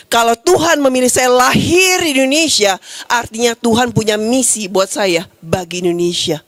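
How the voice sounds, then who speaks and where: A woman preacher giving a sermon in a church hall, lively and coaxing the congregation directly. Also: a little high. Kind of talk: sermon with audience games.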